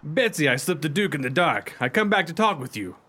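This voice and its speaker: An actor playing a gentle, mysterious pirate who speaks in a formal, poetic way in a radio play. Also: male voice, fairly high pitch, very animated, a little fast, noisy background. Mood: curious, tender. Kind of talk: earnest theatrical radio acting.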